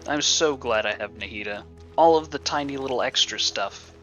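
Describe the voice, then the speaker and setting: A man's voice: mid-range voice, normal speed, fairly bright tone, muffled recording, faint music behind. A man livestreaming casual game commentary in a sarcastic, joking manner.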